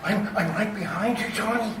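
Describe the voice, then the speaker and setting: A man's voice: sits high, normal speed, a bit bright. A man playing a timid, fawning sidekick doctor who loves a drink, hesitant and stammering, in old-time radio theater style. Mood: nervous, eager. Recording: roomy, noisy, distant stage recording.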